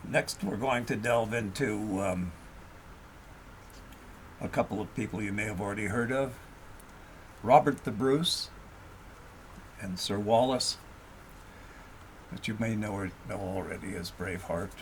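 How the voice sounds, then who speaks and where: A man narrating a historical tale as an audiobook reader, in an expressive storytelling style. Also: lowish voice, a little slow, long pauses, slight background noise, low-quality recording.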